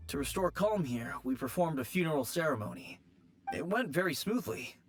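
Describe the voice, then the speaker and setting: A courteous young assistant at a funeral parlor in a fantasy game, calm and explanatory. His voice is slightly high with a lively, moving tone, and faint music plays behind him. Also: moderate pace. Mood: apologetic. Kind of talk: video game dialogue.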